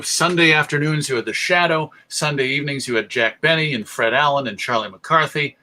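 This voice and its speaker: Lecturer, male, American: slightly high, very bright voice, articulate storytelling delivery with dramatic emphasis. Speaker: presenter, radio show host. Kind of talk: illustrated history lecture.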